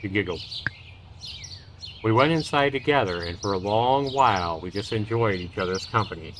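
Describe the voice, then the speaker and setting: A man hosting a livestream, reading a visual novel aloud in a measured storytelling way. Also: on the low side, expressive, noisy background. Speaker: livestream host. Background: loud music and birdsong.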